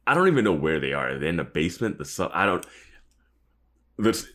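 A man co-hosting a podcast in casual recap chat, animated and incredulous, often acting out imagined lines. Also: deep voice, brisk. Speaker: podcast co-host.

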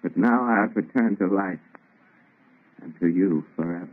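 An actor playing a tormented young scientist with fervent, theatrical delivery in an old radio melodrama. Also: male voice, sits low, moderate pace. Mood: tender, fervent. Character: overwrought young scientist, tormented and intensely emotional. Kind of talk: 1930s radio melodrama acting. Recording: thin, tinny old radio recording.

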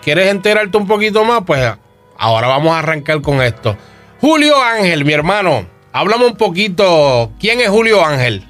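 Man, radio host, somewhat high voice, faint music behind. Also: very animated, normal speed, a bit bright. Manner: friendly, coaxing questions.

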